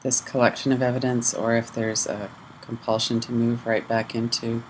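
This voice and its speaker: A person leading a guided mindfulness meditation in measured short phrases with pauses between them. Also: medium pitch, level tone, a little hiss or hum, rough recording. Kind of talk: guided mindfulness meditation, slow gentle instruction.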